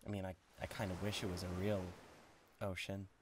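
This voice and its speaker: A man's voice: sits low, flat delivery, brisk, background noise, close to an everyday voice. A man voicing a lovestruck, awkward devil king of Hell in an intimate ASMR roleplay, hesitant and stammering. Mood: wistful, regretful. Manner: hesitant, stammering, swinging from tender to giddy. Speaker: audio roleplay voice actor.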